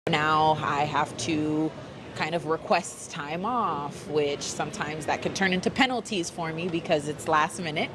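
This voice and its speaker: Low-voiced woman, noisy street interview. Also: measured pace. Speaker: interviewed traveler. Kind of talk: casual on-the-spot interview. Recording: noisy location with vehicle sounds.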